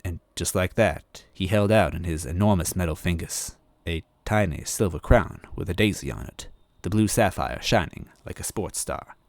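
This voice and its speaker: A man's voice: sits low, normal speed, no echo. A man reading a story aloud as a dramatic first-person narrator. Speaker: story reader.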